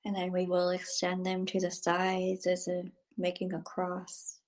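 Female meditation guide, very slow and level in delivery, soothing, hushed at phrase ends. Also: lowish voice, monotone.

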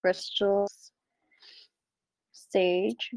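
Slow woman leading guided meditation, creaky voice. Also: mid-range voice, little pitch movement, darkish tone, dry recording, poor audio. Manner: measured, pausing between short phrases. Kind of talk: guided meditation, calm instruction in short phrases.

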